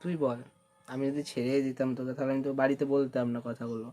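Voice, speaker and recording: A man's voice: mid-range voice, level tone, fast, mellow. A young man in a casual phone conversation, coaxing and repeating himself as he pleads. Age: young adult. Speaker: prank caller.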